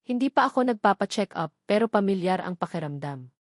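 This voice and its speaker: A woman with a Filipino accent voicing a gentle, hurt but forgiving young mother in a scripted audio drama, speaking hesitantly. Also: medium pitch, expressive, fairly quick, no room sound, studio quality, close to an everyday voice. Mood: weary, uneasy.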